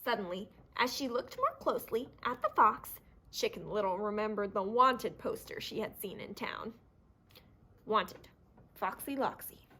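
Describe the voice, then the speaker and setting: A woman reading a children's story aloud in an animated, theatrical storytelling manner. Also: mid-range voice, moderate pace. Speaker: storybook reader. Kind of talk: expressive scripted children's read-aloud.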